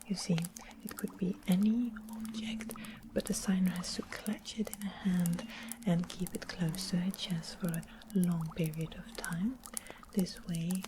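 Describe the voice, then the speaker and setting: A woman playing a sweet, sly fantasy witch in an ASMR roleplay, speaking in a soft, gentle, unhurried whisper. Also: fairly low pitch, steady tone, natural voice. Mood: hushed wonder. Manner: gentle, unhurried, doting, quietly sinister. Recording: close whisper with clear background noise, clicks.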